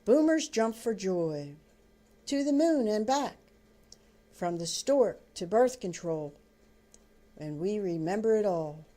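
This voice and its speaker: An older woman reading her own book aloud as storybook narration, in deliberate, halting phrases. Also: mid-range voice, animated, warm tone.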